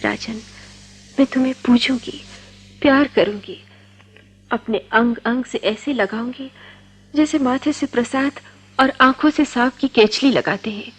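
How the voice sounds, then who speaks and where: A young woman in a radio play, with a high voice. She is defiant and desperately in love, and speaks in impassioned, poetic, theatrical phrases. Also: unhurried, pauses often, slightly rough. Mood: ardent devotion. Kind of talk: Hindi radio drama acting.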